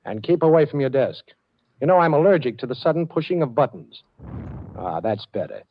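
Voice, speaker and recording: An actor playing a wry, cool-headed amateur sleuth on the run in a 1940s radio drama. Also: male voice, medium pitch, very animated, a little fast. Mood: menacing, wry. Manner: wry, wisecracking. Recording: muffled old radio recording.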